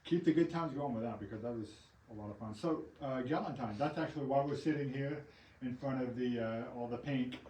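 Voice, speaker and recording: Oklahoma man, local news host, easygoing banter, mid pitch; roomy, off-mic sound. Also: a little fast. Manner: relaxed, chatty, laughs often. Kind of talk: casual live news chat. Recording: roomy sound, mic at a distance, faint background hum.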